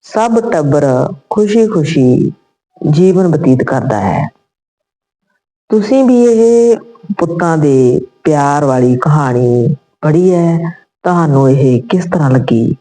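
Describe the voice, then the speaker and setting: A woman reading a scripted moral story as narrator, in measured phrases. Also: low voice, expressive, warm tone, poor audio.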